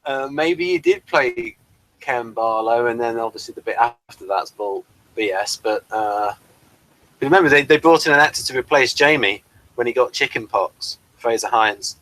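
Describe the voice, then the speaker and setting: A man's voice: medium pitch, very animated, moderate pace, sharp tone, no echo, low-quality recording. A man, hosting a casual review chat. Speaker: host of a TV review show.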